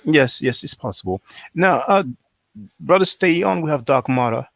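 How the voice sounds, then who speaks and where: A man moderating a panel call, friendly and halting as he invites speakers in. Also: on the high side, animated, gravelly, a bit bright, dry recording, clean studio sound.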